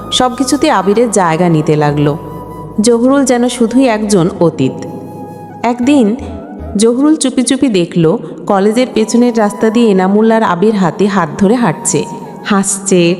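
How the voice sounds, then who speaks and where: Woman storyteller, sentimental scripted narration, lively swooping intonation, reverberant hall sound, music underneath. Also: mid-range voice. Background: background music.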